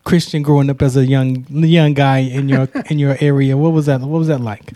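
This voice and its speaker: A man hosting a radio interview show, giving shout-outs and chatting casually with his guest. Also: medium pitch. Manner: warm shout-outs, quick excited reactions. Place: radio station studio.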